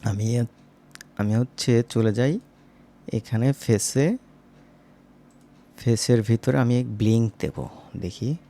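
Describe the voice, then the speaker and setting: A man's voice: medium pitch, takes it slowly, pauses often, dark tone. A man with a mid-range voice presents a screen-recorded tutorial. His delivery is casual and informal, and he addresses his viewers directly as he explains each step. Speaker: animation tutor.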